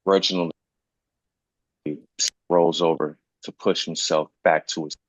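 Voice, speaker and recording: A very low-voiced man narrates screenplay directions slowly. His voice is husky, and the sound is dull, picked up in a roomy space. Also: flat delivery. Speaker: actor reading stage directions. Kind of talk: scripted narration at a live table read. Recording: muffled, echoey room pickup.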